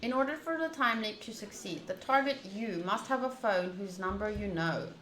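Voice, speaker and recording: A woman streaming a visual novel, reading text aloud and thinking out loud in an animated, rambling way. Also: mid-range voice, moderate pace. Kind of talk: reading game text aloud with casual commentary. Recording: roomy echo, faint background noise.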